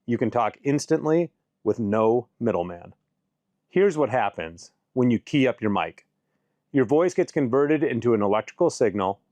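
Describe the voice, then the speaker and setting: A man presenting a scripted explainer in clear, measured, instructional phrasing, as if into a close voice-over mic. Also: mid-range voice, a little mellow, no echo. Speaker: presenter of a radio shop's explainer video.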